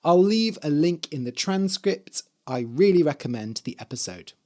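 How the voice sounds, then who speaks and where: A man hosting an English-learning podcast, speaking in a hoarse, slightly dry voice and explaining words clearly for learners. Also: on the high side, lively intonation, moderate pace, darkish tone. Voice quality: spells words out letter by letter. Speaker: podcast host and English teacher. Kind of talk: slow, clear teaching talk for learners.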